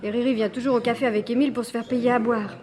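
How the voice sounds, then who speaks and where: An actress in a French radio drama playing a friendly, sensible young waitress with a polite, colloquial manner. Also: female voice, fairly high pitch, steady tone, rapid-fire, a little mellow, close to an everyday voice. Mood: worried. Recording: busy café chatter behind. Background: café crowd chatter.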